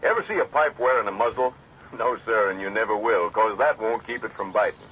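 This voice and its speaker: A man's voice: lowish voice, animated, brisk, fairly bright tone. An American man reading a 1940s radio commercial in a folksy, punchy sales delivery, on a noisy old recording. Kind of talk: 1940s radio advertising read.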